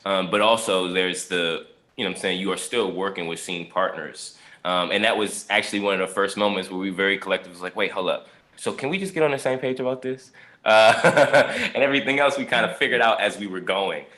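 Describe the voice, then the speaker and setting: A man with a very low but bright, forward voice chats as an actor on a panel. His phrasing is loose and colloquial, aimed straight at his colleagues. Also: a little fast. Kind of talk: casual chat. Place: at home on a video call.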